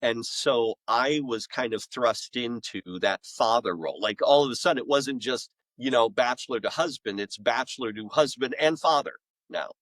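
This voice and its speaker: A man's voice: mid-range voice, normal speed, hardly any pauses, fairly bright tone, no room sound, rough recording. A middle-aged man, a podcast guest and author, telling casual anecdotes in an enthusiastic, rambling way.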